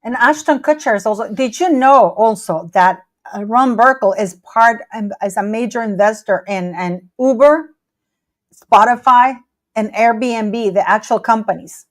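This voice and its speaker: A woman's voice: fairly high pitch, unhurried. A woman hosting an interview, asking questions and reacting with disbelief. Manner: exclaims in disbelief, stumbles over questions. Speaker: podcast host. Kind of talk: casual interview chat.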